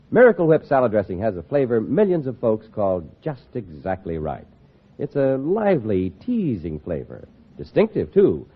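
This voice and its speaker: A man with an American accent, a polished, emphatic 1940s radio announcer reading advertising and narration. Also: medium pitch. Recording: thin, phone-like old radio recording.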